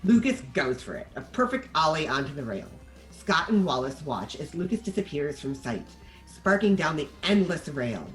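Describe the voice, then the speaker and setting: A woman's voice: sits low, sing-song, normal speed, hardly any pauses, soft background music, poor audio. A woman narrator reading the stage directions of a screenplay in scripted narration.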